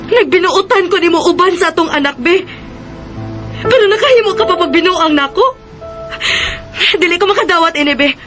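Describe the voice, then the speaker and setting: Reproachful poor wife, high bright voice, Cebuano Filipino accent, plaintive, radio drama, music bed. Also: female voice, fairly quick. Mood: hurt, accusing. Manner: plaintive, accusing. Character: hurt, reproachful poor wife and young mother.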